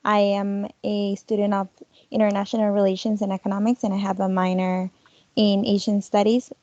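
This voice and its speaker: Young woman student from Peru, flat delivery, dry dull call audio. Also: medium pitch, normal speed, no echo. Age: young adult. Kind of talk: self-introduction on a video call. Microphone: video call.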